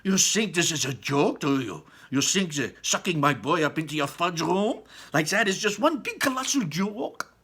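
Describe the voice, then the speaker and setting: Shrill, frantic mother, put on by a man. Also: sing-song, normal speed, pushed and bright, breathy edge, full character voice. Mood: outraged. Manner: screaming, snapping outrage. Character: shrill, frantic, indignant mother of a greedy boy.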